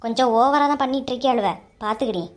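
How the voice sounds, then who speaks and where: Woman playing a high-voiced grumbling village auntie. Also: fast, natural voice. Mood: offended, scolding. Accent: Kanyakumari Tamil. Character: grumbling, easily offended middle-aged village woman in a cartoon. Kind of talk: cartoon comedy dialogue.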